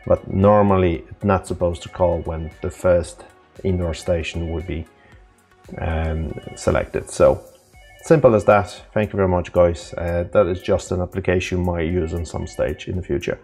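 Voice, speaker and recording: A man presenting an informal, unscripted software tutorial, explaining step by step in a halting way. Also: low voice, fairly even intonation, breathy edge, soft background music.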